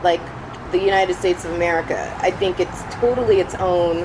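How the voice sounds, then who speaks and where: Woman host, slightly low voice, bubbly and giggly, casual podcast chat with lots of ums. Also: moderate pace, background noise. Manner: bubbly, laughs often, many fillers.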